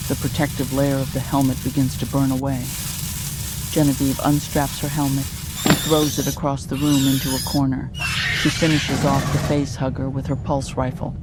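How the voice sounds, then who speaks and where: Casting: narrator for scripted audio drama, measured and descriptive, little pitch movement, noisy backdrop. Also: level tone.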